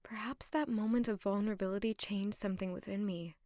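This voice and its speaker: Actress as a gentle, earnest young castle maid. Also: female voice, mid-range voice, steady tone, fairly quick, no room sound, clean studio sound, natural voice. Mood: reflective. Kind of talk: audio drama acting and first-person narration.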